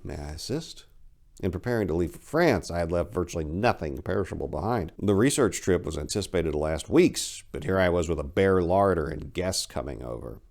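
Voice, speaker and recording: A man giving a theatrical, measured dramatic reading of a literary short story as its narrator. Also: deep voice, lively intonation, creaky, darkish tone.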